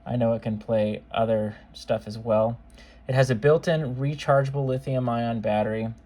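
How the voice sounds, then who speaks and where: A man's voice: mid-range voice, fairly even intonation, moderate pace, mellow. Casual man demonstrating a product. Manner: informal, offhand, conversational. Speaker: seller demonstrating modified cassette players.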